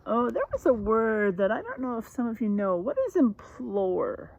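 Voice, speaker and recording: A woman's voice: fairly high pitch, animated, normal speed, creaky, a little mellow, no echo, dull audio. A woman reading a children's story aloud as a teacher would, speaking directly and encouragingly to young listeners. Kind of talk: children's read-aloud with rhyme and vocabulary talk.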